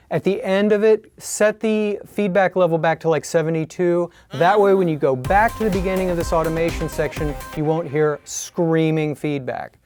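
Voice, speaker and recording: A man's voice: on the high side, darkish tone. An American man in his forties giving plain-spoken, scripted tutorial narration in a studio. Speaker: tutorial host. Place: studio, indoors.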